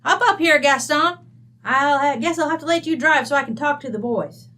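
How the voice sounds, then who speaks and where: A woman's voice: high-pitched, moderate pace, bright, forceful tone. A woman playing a brash, folksy, middle-aged rancher widow with an American West accent, blunt, bossy and slangy, in an old-time radio drama. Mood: brisk, hearty.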